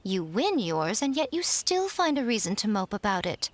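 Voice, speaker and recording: A woman's voice: medium pitch, sing-song, moderate pace, natural voice. Woman playing a sly, lilting shrine maiden. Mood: mocking. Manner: playful, poised, teasing. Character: sly, teasing, vain, elegant shrine maiden. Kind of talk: animated video game dub acting.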